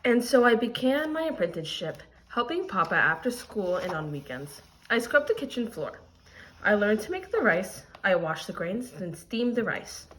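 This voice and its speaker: A woman teacher delivers an expressive read-aloud for young students. Her intonation is animated and swings widely around a mid-range pitch. She explains things plainly, in a lesson style. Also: moderate pace, slight background noise, low-quality recording. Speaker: teacher reading a picture book aloud.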